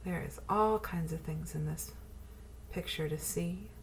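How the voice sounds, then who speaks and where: A woman reading a picture-book poem aloud to children in an expressive, animated way, drawing out phrases and pausing for asides to her listeners. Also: on the low side, a little hiss or hum.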